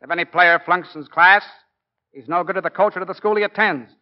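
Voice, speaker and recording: A man acting a middle-aged American football coach in a 1940s radio drama. His voice is high for a man, and he speaks with earnest, plain-spoken oratorical force. The recording is muffled, as old broadcasts are. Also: brisk, lightly acted. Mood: impassioned. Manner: emphatic, oratorical.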